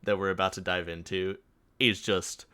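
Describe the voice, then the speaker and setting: A man's voice: low-pitched, flat delivery, moderate pace, sharp tone, clean tone. A man chatting casually and enthusiastically as a podcast co-host. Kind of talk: casual chat.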